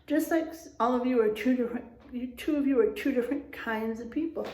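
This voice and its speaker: Woman, teacher read-aloud, higher-pitched voice, expressive storybook delivery, checks in with listeners, echoey room. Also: a little fast. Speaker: teacher reading aloud to children. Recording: roomy, echoing room sound.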